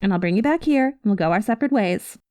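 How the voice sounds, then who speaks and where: Casting: actress for cynical female space smuggler, ASMR roleplay. Medium pitch, wry conversational asides, guarded with a soft spot, very little room sound. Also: expressive, fast, no room sound, studio quality, close to an everyday voice. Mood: grudgingly relenting. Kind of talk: ASMR roleplay addressed to the listener.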